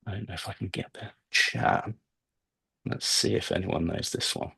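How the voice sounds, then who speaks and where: A British man giving an academic talk, speaking in a halting, discursive way with asides. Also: low-pitched, fairly even intonation, creaky, sharp tone, breathy edge, no room sound. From Britain. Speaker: guest lecturer, researcher of ancient history. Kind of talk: academic talk with slides, semi-scripted.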